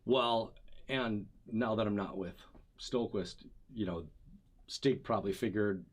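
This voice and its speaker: Husky, joking Wisconsin man. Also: fairly low pitch, measured pace. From Wisconsin, USA. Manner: relaxed, joking, rambling with fillers. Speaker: paddle company sales rep. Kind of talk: casual interview chat. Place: indoors, at a table with drinks.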